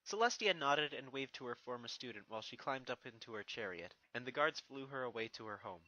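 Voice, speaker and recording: Bright, very animated story narrator. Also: mid-range voice, fairly quick, dry recording, lo-fi sound. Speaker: fan fiction narrator. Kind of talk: expressive scripted story narration. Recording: music playing behind the voice. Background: background music.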